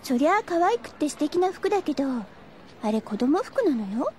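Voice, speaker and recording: An actress playing a sweet, innocent high school girl with a gentle, girlish manner in a Japanese audio drama. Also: big pitch swings, normal speed, a little hiss or hum. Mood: mildly pouting.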